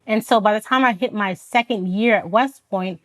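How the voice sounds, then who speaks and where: A woman's voice: medium pitch, moderate pace. A woman from Florida whose first language is Haitian Creole, telling her personal story as a podcast guest in a reflective, earnest way. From Florida, United States. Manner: retells events with quoted dialogue, laughs often. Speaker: podcast guest, Army officer.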